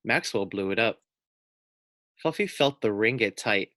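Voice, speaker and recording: A man reading a children's storybook aloud like a teacher, pausing for casual asides and questions to his young listeners. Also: fairly low pitch, lively intonation, sharp tone, dry recording, clean studio sound.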